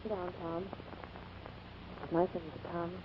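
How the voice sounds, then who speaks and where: An actress playing a wounded, bedridden woman, faint, gentle and resigned, in 1940s radio drama style. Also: lowish voice, little pitch movement, moderate pace, close to an everyday voice. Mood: weak, tender. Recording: muffled, noisy 1940s radio recording.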